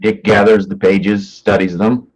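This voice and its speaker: A man's voice: lowish voice, little pitch movement, unhurried, husky, poor audio. A man reading stage directions as scripted narration for a staged play reading.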